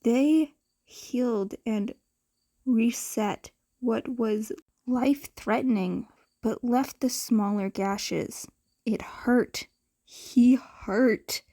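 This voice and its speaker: Slow, soft-toned woman narrating fiction. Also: on the high side. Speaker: podfic narrator. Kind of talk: expressive scripted fiction narration.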